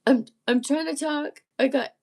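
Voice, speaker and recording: A woman with a gravelly, husky, croaky voice, strained after illness, chatting casually on a live stream and often clearing her throat. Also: high-pitched, steady tone, moderate pace. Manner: rambling, self-interrupting, frequent throat clearing. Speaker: musician and channel host.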